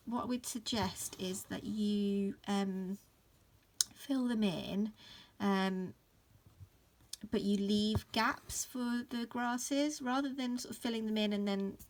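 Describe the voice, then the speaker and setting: A woman in her thirties with a croaky voice from hay fever, casually talking through an embroidery tutorial at home, full of ums and restarts. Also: medium pitch. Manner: relaxed, many fillers and restarts. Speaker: embroidery teacher. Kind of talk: casual stitch-along tutorial. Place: upstairs room at home.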